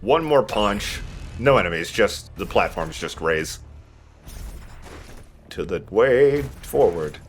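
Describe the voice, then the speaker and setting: A man with a fairly low voice commentates casually over game music as he plays. His delivery swings around a lot, and he jokes and swears freely as he goes. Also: animated, takes it slowly, long pauses. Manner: joking, profane. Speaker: let's play host playing the game. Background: game music behind the voice.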